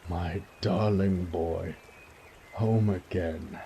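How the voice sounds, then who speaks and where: A man's voice: deep voice, fairly even intonation, extremely slow, dark tone, slight background noise, heavily acted. A man playing a kindly elderly nobleman and father in an audio drama, effusive and genteel with old-fashioned phrasing. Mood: delighted, fussy. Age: older. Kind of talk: audio roleplay drama acting.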